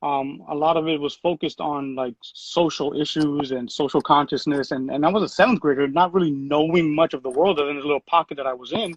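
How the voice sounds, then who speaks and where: A man in his thirties, a city program director, answering interview questions in a relaxed way with lots of fillers and restarts. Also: a little high, fairly quick, hardly any pauses, breathy edge, dry recording, lo-fi sound. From Puerto Rico.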